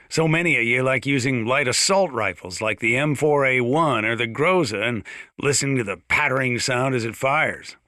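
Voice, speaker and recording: An actor voicing a folksy, gun-loving sheriff in a video game, chatty and opinionated, as if hosting a radio monologue. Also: male voice, mid-range voice, sharp tone, no room sound, clean studio sound. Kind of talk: scripted radio monologue, folksy instructional commentary.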